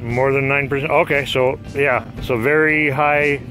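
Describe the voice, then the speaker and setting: A man's voice: mid-range voice, steady tone, measured pace, sharp tone, soft background music, low-quality recording. A middle-aged man chatting casually as host of a homestead vlog, cooking outdoors under a tarp and talking upbeat with his guests. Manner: upbeat, chatty, laughs easily. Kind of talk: casual chat while cooking.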